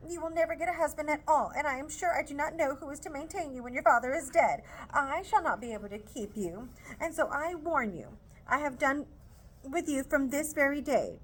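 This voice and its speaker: A fussy, self-pitying mother from a classic novel, complaining loudly about her nerves and scolding her daughter, as a woman reader puts her on. The voice is raised high and whiny, with a faint hiss of background noise. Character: fretful, complaining middle-aged mother, shrill and theatrical.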